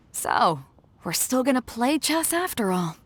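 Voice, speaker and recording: A woman's voice: fairly high pitch, big pitch swings, slow pace, slight background noise. A woman playing a bold, easygoing ship captain in a video game, blunt, wry and swaggering. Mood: knowing. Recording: prominent music under the dialogue.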